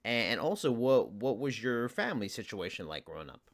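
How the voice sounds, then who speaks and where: A man leads a casual interview in an even, mid-pitched voice. His questions are friendly, and he often stumbles and restarts his sentences. He laughs along with his guest. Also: brisk. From United States. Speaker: interviewer, host.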